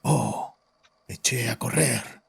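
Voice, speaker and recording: A guilt-ridden father acted by a man makes a cold, haunted confession in an audio horror drama. His mid-pitched voice is gravelly and very hoarse-edged, with bitterness underneath. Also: slow pace, full character voice. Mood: flat guilt. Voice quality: raspy, husky. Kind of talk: dramatic audio fiction monologue.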